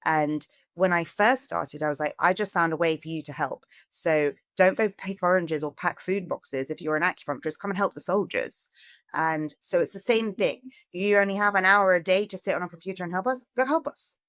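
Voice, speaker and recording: A middle-aged woman from England, a charity founder giving a podcast interview, earnest and growing animated as she asks for help. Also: on the low side, brisk, no room sound. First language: English.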